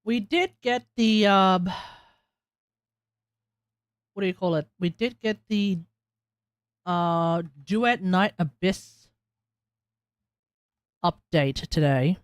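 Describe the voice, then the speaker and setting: A man's voice: high voice, very slow, long pauses, clear, resonant tone, no room sound, clean studio sound. An Australian man chatting casually as he hosts a live game stream. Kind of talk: casual livestream chat.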